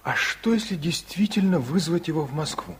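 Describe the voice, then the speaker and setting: An actor playing an impulsive, earnest, big-hearted young son with a husky voice in a 1960s Soviet radio play. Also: male voice, fairly high pitch, animated, moderate pace. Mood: eager. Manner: eager, insistent.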